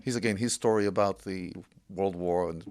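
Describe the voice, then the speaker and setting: A man in his sixties from Israel, a podcast guest telling his family history in an unhurried way with many pauses. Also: low voice, darkish tone, slight background noise. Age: 60s. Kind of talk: casual interview chat.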